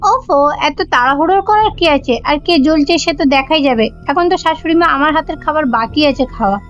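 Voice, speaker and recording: Boastful high-pitched young Bengali daughter-in-law, woman acting. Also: quick pace, close to an everyday voice. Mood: huffy, boastful. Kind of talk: cartoon comedy voice acting. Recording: dull, lo-fi audio with music behind.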